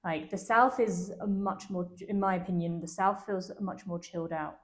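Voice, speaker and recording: A woman's voice: fairly low pitch, fairly even intonation, moderate pace. A young woman with an English accent, chatting easily as an interview guest, laughing often and using lots of fillers. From England. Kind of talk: casual interview chat.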